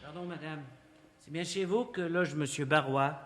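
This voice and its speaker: A man's voice: a little high, moderate pace, fairly bright tone, soft background music, close to an everyday voice. A man playing a jovial, sly amateur sleuth in a French radio play, chummy and bantering yet insistent. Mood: polite, insistent.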